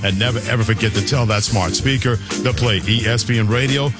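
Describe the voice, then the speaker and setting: A man's voice: lowish voice, brisk, loud music behind the voice. A man co-hosting a sports radio show, mixing punchy promo reads with banter.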